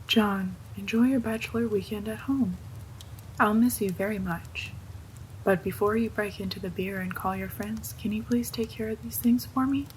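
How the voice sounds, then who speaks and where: A woman's voice: mid-range voice, normal speed, a little hiss or hum, close to an everyday voice, low-quality recording. A woman playing an affectionate, playful wife reading out her notes to her husband in a narrated story. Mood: fond, playful.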